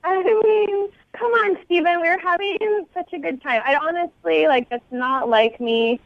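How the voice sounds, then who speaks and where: Female phone guest, apologetic and coaxing, unhurried delivery, notably high pitch. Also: no room sound, muffled recording. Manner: apologetic, pleading. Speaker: radio show phone guest. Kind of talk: informal phone conversation.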